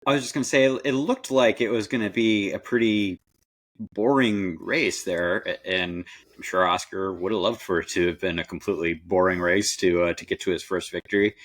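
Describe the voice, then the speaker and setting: A man co-hosting a podcast, giving casual, measured analysis of a sporting event. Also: sits low, a bit bright, dry recording. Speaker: podcast co-host.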